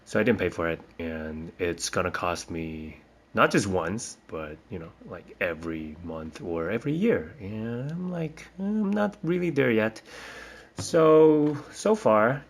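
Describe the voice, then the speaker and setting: Slow, hesitant man from Taiwan. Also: on the low side, lively intonation. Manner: hesitant, rambling, frequent fillers and restarts. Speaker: teacher. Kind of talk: casual spoken reflection.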